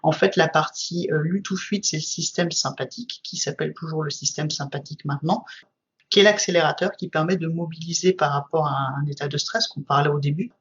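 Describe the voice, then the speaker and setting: A woman's voice: low voice, a little fast, lo-fi sound, slight background noise. A woman, a guest trainer, explaining her subject at length in casual conversation, with frequent fillers.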